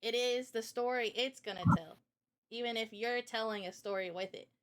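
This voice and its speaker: A woman's voice: a little high, moderate pace, noisy background. A woman hosting a live stream in casual, unscripted conversation, speaking earnestly and passionately about art as an illustrator. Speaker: live stream host interviewing a guest.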